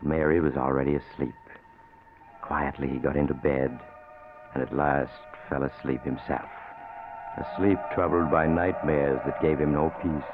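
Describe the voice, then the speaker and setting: Sinister old-time radio mystery narrator, really low voice, dramatic and sardonic, tinny recording. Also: male voice, steady tone, moderate pace. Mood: ominous narration. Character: mysterious male traveler, sly ominous storyteller. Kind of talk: 1940s-50s radio suspense narration. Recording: old radio recording, muffled narrow band. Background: dramatic music.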